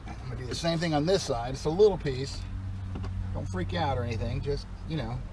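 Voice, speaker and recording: Male DIY vlogger, mid-pitched, folksy and informal, explaining hands-on steps; clearly noisy in-vehicle recording. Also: fairly quick. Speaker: DIY vlogger demonstrating a car repair. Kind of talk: casual hands-on tutorial talk. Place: inside or beside a Jeep.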